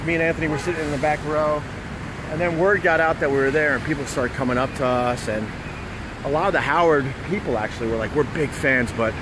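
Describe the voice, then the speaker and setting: A man's voice: a little high, noisy background. A man, a radio host, telling a story casually and bluntly with plenty of swearing, recorded outdoors on a city street. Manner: profane, blunt.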